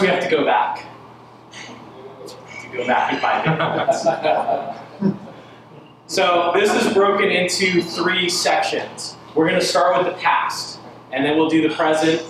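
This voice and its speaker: Man presenting at a meetup, relaxed and joking, heard from across a big reverberant room with background noise. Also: on the high side. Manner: casual, joking, loose with fillers. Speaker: meetup speaker. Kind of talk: live tech presentation. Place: large indoor event space with audience. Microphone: handheld mic. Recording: echoey room, mic from far off, noisy.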